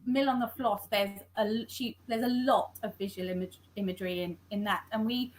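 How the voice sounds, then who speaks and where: A woman's voice: mid-range voice, steady tone, a little hiss or hum, low-quality recording. A woman, a scriptwriter, talking through her work in an interview, often stopping and restarting her sentences. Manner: halting, self-correcting, many restarts. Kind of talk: conversational interview. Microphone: video call.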